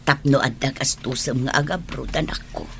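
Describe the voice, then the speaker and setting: A woman with an Ilocano accent playing a worried older mother in a radio drama, husky-voiced. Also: a bass voice, normal speed, a bit bright, slight background noise, exaggerated voice. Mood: worried, pleading.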